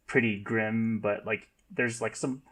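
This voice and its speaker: A man talking casually as a podcast guest, halting and rambling with many filler words. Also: on the low side, fairly even intonation, a crowd in the background. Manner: rambling, halting, heavy use of "like". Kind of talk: casual group discussion.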